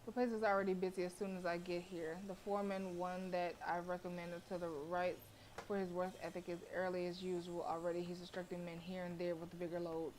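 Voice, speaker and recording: Clear noise runs under a woman's stream microphone. She has a slightly low voice and narrates a visual novel aloud with expression, as a streamer does live. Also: flat delivery, brisk, a little mellow, poor audio.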